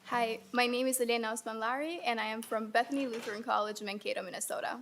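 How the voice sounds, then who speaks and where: Brisk young woman from Albania. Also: a little high, steady tone, a bit bright, slight background noise. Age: young adult. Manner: brisk, formal, reading from notes. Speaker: student leader testifying. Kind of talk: prepared statement at a hearing. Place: legislative hearing room. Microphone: desk microphone.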